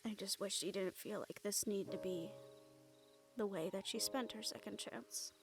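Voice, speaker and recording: A woman acting an affectionate young fantasy fighter in an intimate audio roleplay, speaking softly with tender, playful warmth and an undertow of grief. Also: medium pitch, normal speed, soft background music, lightly acted. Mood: grieving, tender. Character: affectionate young woman, supernatural fighter, grieving yet teasing.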